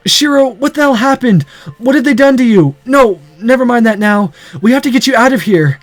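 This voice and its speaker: A man's voice: very high voice, animated, brisk, no room sound, pitched above the performer's own voice. Man reading as earnest, determined young game hero. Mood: urgent concern. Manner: earnest, dramatic inner monologue. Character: determined, anxious young male visual novel hero.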